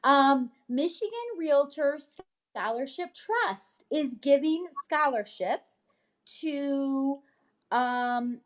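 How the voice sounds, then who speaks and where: A woman's voice: sits high, very slow. A woman co-hosting, reading news items and chatting about them in an upbeat, informal way. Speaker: co-host, realtor reading a newsletter. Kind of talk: casual chat while reading news items.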